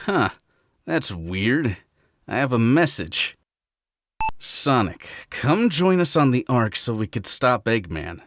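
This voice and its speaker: A man's voice: medium pitch, sing-song, slow, fairly bright tone, dry recording, exaggerated voice. A man voicing an easygoing, goofy hedgehog hero in a fan audio drama, casual and playful. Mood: casual, curious.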